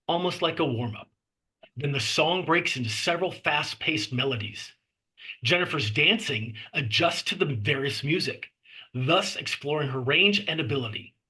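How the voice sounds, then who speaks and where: A man narrates a screenplay at a table read, reading out the stage directions. His voice is fairly high and has a bright, forceful edge. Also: low-quality recording.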